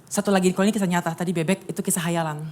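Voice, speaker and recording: A woman from Bali with a lightly Balinese-tinged Indonesian accent, giving a sermon-style workshop talk as a lively storyteller who speaks directly to her audience. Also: on the low side, very fast.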